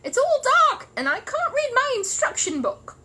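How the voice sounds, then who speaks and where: Young bear magician voiced by a woman; small, courteous, ceremonious storybook character, lively intonation, some background noise. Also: extremely high pitch, moderate pace, voice pushed up, full character voice. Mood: small, plaintive. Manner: solemnly announcing, as if on stage. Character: small, earnest, polite young bear conjuror.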